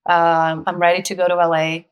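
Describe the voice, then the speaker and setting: A woman's voice: on the low side, moderate pace, dull audio. Brazilian woman telling her story. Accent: Brazilian Portuguese. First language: Portuguese. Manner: animated storyteller, laughs often, acts out quoted voices. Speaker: guest, actress. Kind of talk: casual interview conversation.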